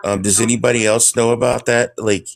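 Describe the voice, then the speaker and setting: Gravelly man asking hesitant questions. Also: medium pitch, level tone, fairly quick. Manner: hesitant, many fillers. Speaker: debate panelist. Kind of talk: online debate questioning.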